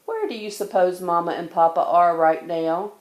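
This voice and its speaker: A woman's voice: on the low side, normal speed, a little mellow, natural voice. A woman voicing a young, anxious, questioning girl bear cub from a children's storybook. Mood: curious.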